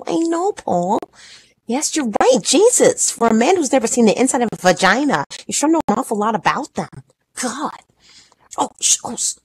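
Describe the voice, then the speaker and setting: A woman playing a jittery, high-voiced pregnant woman. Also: slightly rough, exaggerated voice, rough recording. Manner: nervous, chatty, swings between high outbursts and whispers. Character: anxious pregnant 29-year-old woman in clinic. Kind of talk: monologue performance.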